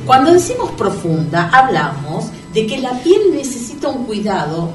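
An actress playing a confident beauty therapist, explaining treatments and teasing in a comedy sketch. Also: female voice, a little high, very animated, normal speed, darkish tone, reverberant, far from the mic, a little hiss or hum. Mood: explanatory.

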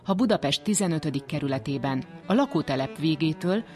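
A radio reporter narrates a scripted documentary at a middling pitch. Faint music plays behind the measured, written-out wording. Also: lively intonation, darkish tone.

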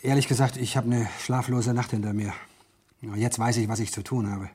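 A man playing an obliging office clerk in a vintage radio crime play. His medium-pitched voice is strongly husky and breathy. He speaks politely and with some hesitation, like a subordinate. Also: little pitch movement, a little fast, a little mellow, lightly acted. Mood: confessing. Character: deferential, hesitant male office employee, obliging. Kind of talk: 1970s German radio crime drama acting.

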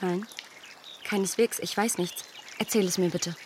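An actress playing a spirited, self-assured young gentlewoman in a period radio play, expressive and emphatic. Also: fairly low pitch, normal speed, a little hiss or hum, lightly acted. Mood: astonished, emphatic. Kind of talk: German radio play acting of period novel.

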